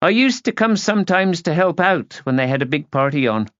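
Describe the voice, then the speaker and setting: A man's voice: fairly high pitch, lively intonation, fairly quick, fairly bright tone, no room sound, muffled recording, voice pushed up. A man voicing a plain-spoken, gossipy, practical housekeeper woman in an audiobook, homely and a little bossy. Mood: chatty, regretful. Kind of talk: audiobook character voice.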